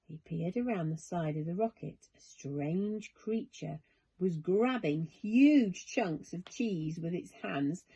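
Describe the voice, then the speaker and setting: Slow, expressive woman reading bedtime stories. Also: medium pitch, husky, highs cut off. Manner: expressive, measured, dramatic. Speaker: storyteller. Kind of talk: children's bedtime story narration.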